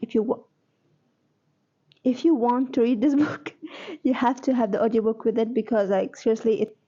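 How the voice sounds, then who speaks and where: Young woman rambling about a book, kinda high voice, mellow tone, keeps correcting herself. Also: dull audio. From Egypt. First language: Arabic. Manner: rambling, chatty, self-correcting. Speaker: book vlogger. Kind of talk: casual reading vlog chat.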